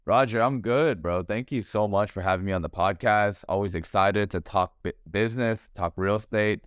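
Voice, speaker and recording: A man's voice: on the low side, normal speed, clear, resonant tone, no room sound, clean studio sound. A young man, a realtor born in Thailand and raised in the US, chatting casually as a podcast guest on a video call, tossing in "man" and "bro" a lot. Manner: relaxed, chatty, says "man" and "bro" often.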